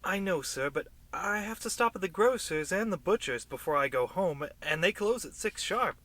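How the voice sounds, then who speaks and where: An actor playing a meek, polite, timid clerk in a radio play, deferential and apologetic. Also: male voice, high voice, lively intonation, normal speed, bright, forceful tone, exaggerated voice. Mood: meek, pleading. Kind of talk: radio drama acting.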